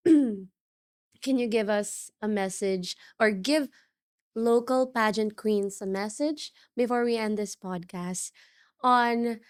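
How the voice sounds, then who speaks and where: A Filipino woman with a Filipino accent hosts a casual interview, gushing warmly over her guest and dropping Tagalog words into her English. She talks at an unhurried pace, and her voice is wearing thin as she loses it. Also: mid-range voice, dry recording, studio quality. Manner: effusive, mixes Tagalog into English. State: losing her voice. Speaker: podcast host.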